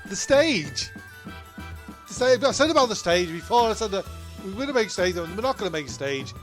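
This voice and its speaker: A man's voice: high-pitched, big pitch swings, fairly quick, faint music behind. A man voicing a flustered male castaway comedian who rants and swears when annoyed. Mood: ranting. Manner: ranting, sweary.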